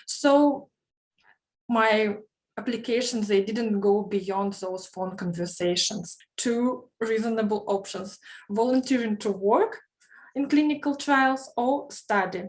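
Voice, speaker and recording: Measured woman. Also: fairly high pitch, poor audio. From Kazakhstan. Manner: careful, measured, slightly formal phrasing with small grammar slips. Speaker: program trainee sharing her career story. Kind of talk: prepared personal testimonial.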